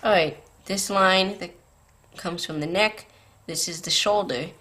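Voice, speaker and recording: A woman's voice: fairly low pitch, measured pace, fairly bright tone. A woman hosting a drawing tutorial, explaining patiently step by step, her voice tired and scratchy from a late night. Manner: patient, beginner-friendly explaining.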